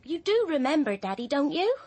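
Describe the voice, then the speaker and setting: High-voiced anxious young girl. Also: very animated, a little fast, darkish tone. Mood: anxious coaxing. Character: anxious, coaxing young daughter devoted to her father. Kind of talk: radio drama acting.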